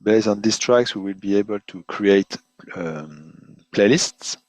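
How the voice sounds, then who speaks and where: A man presenting an unscripted webinar software demonstration in halting, hesitant phrases, often repeating words as he goes. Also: on the low side, fairly even intonation, dry recording, low-quality recording. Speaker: product presenter giving a software demo.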